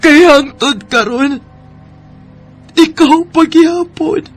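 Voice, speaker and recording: A woman's voice: high-pitched, slow pace. A woman with a Cebuano Filipino accent playing a lovelorn young woman in a radio drama, confessing a long-hidden love. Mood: hurt, pleading. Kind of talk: Bisaya radio drama acting. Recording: music behind the voice.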